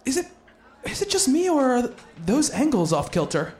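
Casting: male actor as bookish, devoted gentleman doctor, high-pitched voice, measured storyteller, radio drama over music. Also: wildly swooping pitch, breathy edge, heavily acted. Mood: hesitant, affable. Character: earnest, bookish male doctor, devoted fiancé narrating his tale. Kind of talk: radio play narration and dialogue.

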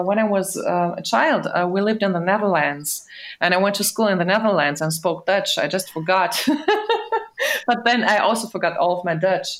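A woman guest translator speaking in a relaxed, giggly way with many fillers in casual conversation. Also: mid-range voice.

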